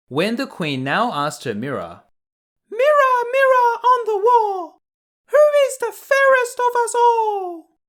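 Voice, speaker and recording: A man narrating a children's bedtime story. He reads slowly and carefully, with very lively pitch movement and an expressive, measured storytelling manner. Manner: measured, expressive read-aloud.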